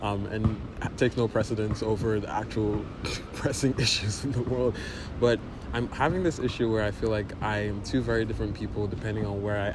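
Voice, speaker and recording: A man in his twenties from Nigeria, talking thoughtfully in a personal video monologue and often checking in with "right?". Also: lowish voice. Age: 20s. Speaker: vlogger. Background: guitar music.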